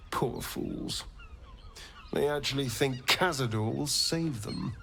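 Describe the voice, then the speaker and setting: An actor playing a proud, vengeful vampire rogue in a video game, with an arch, sneering, dramatic delivery. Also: male voice, mid-range voice, slow pace, vocal fry, fairly bright tone, soft background music. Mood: scheming.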